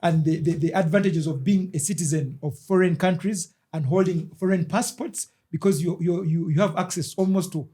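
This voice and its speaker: A man rambling through a casual podcast chat, halting and restarting as he explains. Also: high-pitched, mellow.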